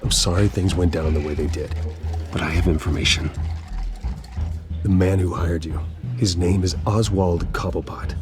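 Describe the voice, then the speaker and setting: A man playing a composed, earnest wealthy man in a video game, speaking calmly and politely. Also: low-pitched, normal speed, pauses often, music in the background, close to an everyday voice.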